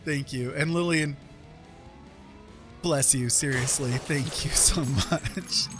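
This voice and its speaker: A man hosting a casual livestream, speaking earnestly and haltingly with frequent pauses mid-sentence. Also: a little high. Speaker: livestream host and artist. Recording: music playing behind the voice. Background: music.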